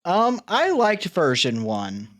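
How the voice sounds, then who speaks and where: A woman's voice: fairly low pitch, huge pitch swings, slow. A woman streamer chatting casually and rambling as she reacts to her old clips.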